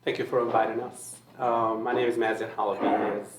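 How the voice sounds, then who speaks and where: A man from Syria speaking as a forum panelist, measured, with many ums and restarts. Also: on the low side, flat delivery, very noisy. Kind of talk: forum talk. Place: large echoey meeting hall. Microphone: handheld microphone. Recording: echoey hall, mic far off.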